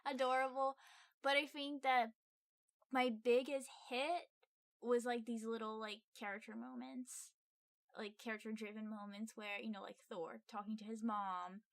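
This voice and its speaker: A woman's voice: on the high side, flat delivery, moderate pace, no echo. A woman co-hosting a casual film review podcast, talking informally and a little hesitantly into a close microphone. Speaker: podcast co-host.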